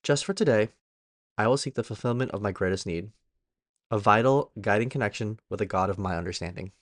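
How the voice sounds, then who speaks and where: A man's voice: fairly low pitch, a little fast, no echo, studio quality. A man reading a written daily recovery meditation aloud as a guest reader.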